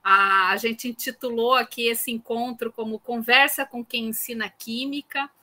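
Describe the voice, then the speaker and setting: A woman's voice: fairly high pitch, fairly even intonation, normal speed, bright, forceful tone. A woman lecturer introducing a guest teacher on a video call, filling her sentences with constant "né" tags. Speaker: university lecturer hosting a guest. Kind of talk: online class conversation.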